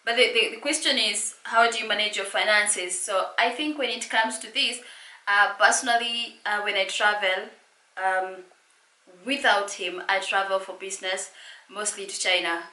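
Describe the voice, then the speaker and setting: A woman's voice: medium pitch, steady tone, normal speed, bright, forceful tone. A woman in her late twenties from Kenya with a Kenyan accent, chatting casually and playfully as she co-hosts a Q&A vlog. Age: late 20s. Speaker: vlogger co-hosting a couples' channel. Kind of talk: casual Q&A chat. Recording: echoey room.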